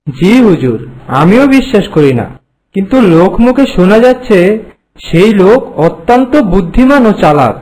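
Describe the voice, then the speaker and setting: A man playing a flattering, hesitant royal minister in an animated folk tale, speaking obsequiously. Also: sits high, moderate pace, rough recording. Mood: cautious. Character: deferential, flattering royal minister serving a king.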